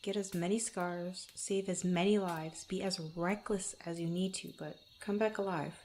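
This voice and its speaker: A woman playing a proud but tender young female adventurer in an intimate ASMR roleplay, speaking softly and haltingly. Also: on the low side, steady tone, slight background noise, lightly acted. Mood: earnest, pleading. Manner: halting, stammering, soft-spoken with breathy asides.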